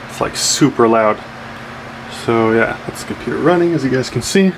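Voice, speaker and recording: A man vlogger talking casually through a hands-on computer build, often pausing and rewording his explanations as he goes. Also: mid-range voice, animated, noisy background. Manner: informal, halting, self-correcting explanations. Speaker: tech vlogger.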